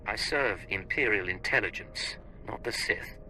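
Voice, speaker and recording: A man's voice: lowish voice, measured pace, pushed and bright, a little hiss or hum, low-quality recording. An actor playing a terse, self-assured male secret agent in a video game, clipped, cool and formal. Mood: firm, questioning. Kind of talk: scripted game cutscene dialogue.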